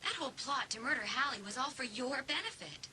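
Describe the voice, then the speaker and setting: Actress as emphatic teen heroine in horror audio drama; lively swooping delivery, forceful edge, slight background noise. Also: female voice, sits high, a little fast, heavily acted. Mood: insistent. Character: determined, accusing teenage girl confronting a treacherous friend.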